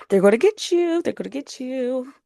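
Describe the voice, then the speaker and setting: A woman's voice: high voice, animated, unhurried, vocal fry, dark tone, no echo, studio quality. A young woman co-hosting a podcast in casual, chatty, slangy conversation. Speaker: podcast co-host.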